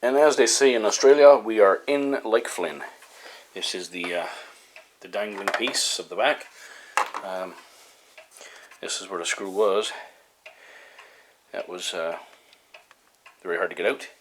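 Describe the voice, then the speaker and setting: A man's voice: on the low side, a little slow, long pauses. A man giving casual hands-on commentary as a hobbyist repairing something, tentative and muttering as he works. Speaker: hobbyist presenter of a radio repair video. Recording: noisy home recording with ticking and clicks.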